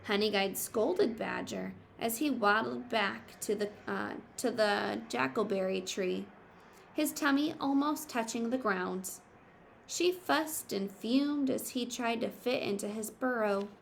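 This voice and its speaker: Female teacher, storybook read-aloud. Slow, slightly gravelly, expressive, explains simply. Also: fairly high pitch, slight background noise. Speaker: teacher reading aloud to pupils. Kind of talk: picture book read-aloud with teaching asides.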